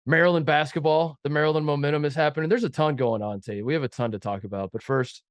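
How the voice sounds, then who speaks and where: A man's voice: on the high side, fast, smooth, dry recording. A man co-hosting a sports podcast while fighting a head cold, sounding nasal as he rambles and jokes at his own expense. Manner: rambling, joking, self-mocking. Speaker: podcast co-host. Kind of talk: casual sports chat.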